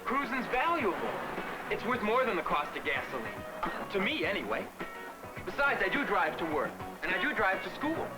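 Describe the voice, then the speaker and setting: High-voiced cocky young guy. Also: sing-song, moderate pace, low-quality recording. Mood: teasing. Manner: casual, teasing. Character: cocky, teasing young male driver who loves cruising. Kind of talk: 1970s educational film acting. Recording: 1970s film soundtrack with music.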